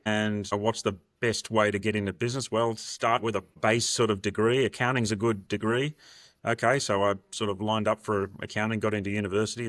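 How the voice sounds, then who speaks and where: A man's voice: lowish voice, fairly bright tone, dry recording. An Australian man in his fifties, speaking as a podcast guest and telling stories in a conversational way. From Sydney, Australia. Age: 50s. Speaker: podcast guest, restructuring partner. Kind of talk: conversational interview, anecdotal storytelling.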